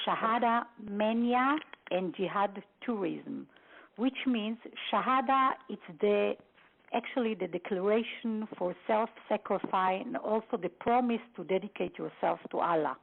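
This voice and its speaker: Female expert guest, Israel-born, stop-start delivery, fry in the voice, phone audio. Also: medium pitch. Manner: halting, with many fillers and restarts. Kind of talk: lecture-style briefing. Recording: tinny phone line.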